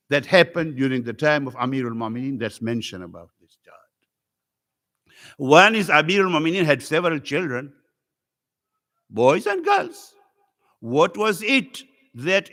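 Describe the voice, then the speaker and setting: A man from Africa, a native Swahili speaker, preaching a religious sermon as a visiting scholar to a congregation, earnest and rising in pitch as he tells stories. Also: pushed and bright.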